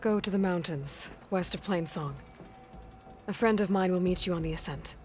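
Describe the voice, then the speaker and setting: An actress playing a direct young huntress, low-toned. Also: female voice, normal speed, darkish tone, soft background music, natural voice. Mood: businesslike. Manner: direct, practical commands. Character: resolute young female hunter-heroine. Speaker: heroine leading a mission.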